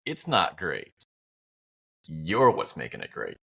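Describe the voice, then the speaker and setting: A man's voice: rumbling low, wildly swooping pitch, slow pace, gravelly, a bit bright, breathy edge. A man bantering casually as a podcast co-host, with a wry, playful and emphatic way of talking.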